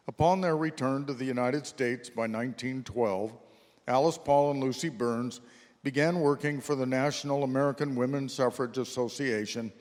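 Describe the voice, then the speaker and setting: A man's voice: medium pitch, a little mellow. A man reading scripted historical narration as the narrator of a readers theater. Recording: reverberant hall, long echo.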